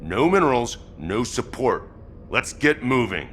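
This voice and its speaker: An actor playing a gruff, pragmatic squad commander in a video game, blunt and commanding. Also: male voice, mid-range voice, sing-song, unhurried, a bit bright, faint music behind. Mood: commanding, impatient.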